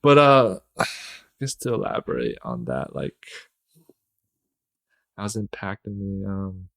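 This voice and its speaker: A man's voice: low voice, big pitch swings, slow pace, creaky, a bit bright, no echo. A young man chatting casually as a podcast guest, rambling with many fillers and laughs. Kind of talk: casual interview chat.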